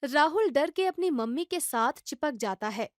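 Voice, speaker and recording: High-voiced woman narrating a children's story. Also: moderate pace, no room sound, clean studio sound. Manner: even, storytelling.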